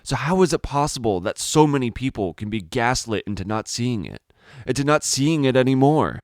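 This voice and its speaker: A man's voice: medium pitch, animated, moderate pace, darkish tone, dry recording, studio quality. A man narrating a dramatic first-person story in a brooding, theatrical manner. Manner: brooding, theatrical delivery with rhetorical questions.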